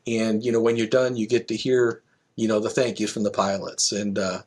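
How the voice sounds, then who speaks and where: A man's voice: on the low side, flat delivery, moderate pace, darkish tone, slightly rough. A middle-aged man speaking as an interview guest, explaining things conversationally through examples and anecdotes. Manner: explanatory, illustrates with examples and quotes. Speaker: guest, air traffic control manager.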